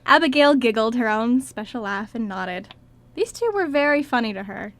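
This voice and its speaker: A woman's voice: on the high side, animated, normal speed, fairly bright tone. A woman reading scripted story narration as the narrator of an amateur radio play.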